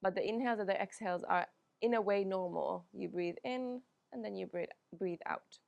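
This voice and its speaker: A woman whose first language is Finnish, teaching a yoga class in a calm, unhurried way with frequent pauses and "um"s. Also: mid-range voice, vocal fry, no room sound, highs cut off. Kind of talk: guided yoga class instruction.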